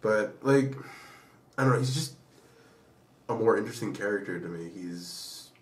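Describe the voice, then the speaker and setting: A man co-hosting a podcast in casual chat, with a blunt, informal and halting way of talking. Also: fairly low pitch. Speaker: podcast co-host. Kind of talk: casual chat about pop culture. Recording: roomy echo, distant from mic.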